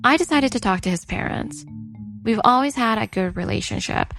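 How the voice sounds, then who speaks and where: Woman acting a high-voiced weary wife, slightly creaky. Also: expressive, normal speed, a bit bright, faint music behind. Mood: weary, matter-of-fact. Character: wronged, weary but outspoken wife in her thirties. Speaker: narrator and lead character. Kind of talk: first-person dubbed story narration.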